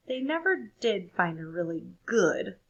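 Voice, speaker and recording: A woman reading a story aloud for children, expressive and deliberate, pausing to ask listeners questions. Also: medium pitch, highs cut off. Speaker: storybook reader.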